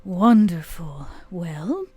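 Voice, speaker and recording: Lively woman playing a tabletop game. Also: fairly low pitch, very slow, slightly rough, no room sound. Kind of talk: casual roleplay chat.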